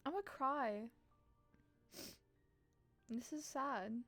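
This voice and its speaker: A woman live streamer reading a visual novel aloud in a playful, expressive way with casual reactions. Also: sits high, very slow, soft background music.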